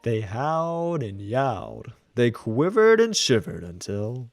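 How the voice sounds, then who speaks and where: A man reading a children's storybook aloud as an animated narrator. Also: mid-range voice, slow pace, dry recording. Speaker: children's book reader.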